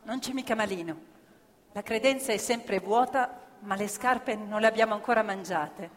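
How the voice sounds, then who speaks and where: An actress playing a weary, thrifty worker's wife in radio play dialogue, with a plain, dry, understated manner. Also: female voice, medium pitch, normal speed, lightly acted. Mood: weary, wry. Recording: reverberant hall with live music.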